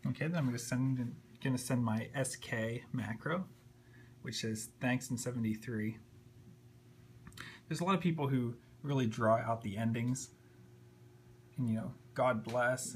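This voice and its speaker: A man's voice: mid-range voice, steady tone, moderate pace. A man giving a casual, unscripted tutorial as he works, sometimes muttering to himself. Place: indoors at a computer desk. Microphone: handheld camera, a little off the screen. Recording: faint background hum and noise.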